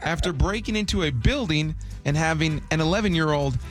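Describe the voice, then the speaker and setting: A man's voice: a little high, faint music behind. A man hosting a morning radio show, upbeat and joking with callers and co-hosts. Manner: upbeat, joking, quick asides.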